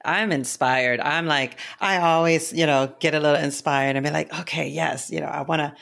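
Gravelly-voiced woman podcast host. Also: deep voice, moderate pace, fairly bright tone. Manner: supportive, frequent affirmations. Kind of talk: casual podcast interview.

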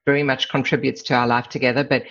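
The recording is a little dull and lo-fi. A middle-aged woman with a South African accent speaks as an interview guest. She is candid and measured as she reflects on personal matters. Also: extremely low pitch, flat delivery, fairly bright tone. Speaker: podcast guest, lawyer. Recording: slightly dull, lo-fi sound.

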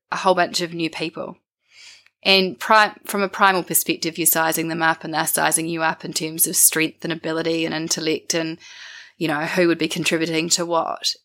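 Croaky New Zealand woman, thoughtful. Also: on the low side, sharp tone. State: croaky from a winter bug. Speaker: interview guest. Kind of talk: reflective interview conversation.

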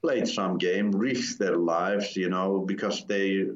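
A low-voiced man in his sixties whose first language is Turkish, speaking in English. He tells his story slowly and hesitantly, often stopping to search for words. The recording is roomy and sounds dull and lo-fi. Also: fairly even intonation. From Iran. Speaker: interview guest. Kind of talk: personal testimony in a conversation.

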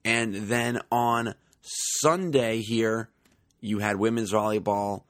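A young man with a drawl, talking through a sports podcast in a loose, chatty way full of fillers. His voice sits a little low and sounds slightly raspy, recorded close and dry with no room sound. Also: fairly even intonation, slow pace, no echo. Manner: chatty, conversational, many fillers. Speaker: podcast host. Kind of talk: casual sports podcast monologue.

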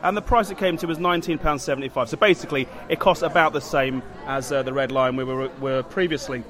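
A man's voice: fairly high pitch, quick pace, a bit bright. A man who has had a few drinks, co-hosting a podcast in rambling, matey pub chat. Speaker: podcast co-host.

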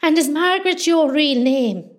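A woman acting a nosy, warm-hearted older Irish mother from West Cork in a radio play, chatty and exclamatory. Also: high-pitched, normal speed, natural voice. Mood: shocked.

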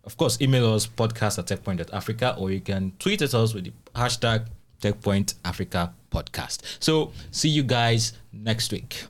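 A man hosts a casual podcast in an easygoing way. His voice sits very low for a man. He moves through plugs and sign-offs in a relaxed tone. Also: moderate pace. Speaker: podcast host.

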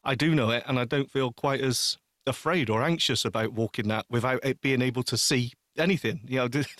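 A man with a medium-pitched voice speaks in a very dry recording. He is a podcast co-host in personal, informal conversation, thinking aloud in halting phrases and repeating words. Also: fairly bright tone, dry recording. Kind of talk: casual personal chat.

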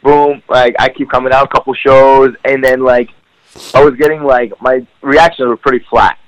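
A man's voice: medium pitch, fairly even intonation, normal speed, no room sound, low-quality recording. A man whose first language is Farsi, a professional wrestler talking as a guest in a casual podcast interview, rambling with many fillers.

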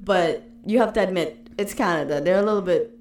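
A woman's voice: on the low side, fairly quick, darkish tone, a little hiss or hum. A woman with a Trinidadian accent co-hosting a casual podcast, teasing her friend, interjecting and laughing. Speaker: podcast co-host. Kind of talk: casual chat.

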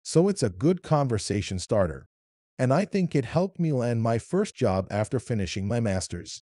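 A man in his fifties from Iran with an Arabic-influenced accent, explaining his career in a measured way as an interview guest. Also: medium pitch, dark tone, no room sound, studio quality. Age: 50s. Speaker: interviewee, localization leader. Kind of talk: read interview answers.

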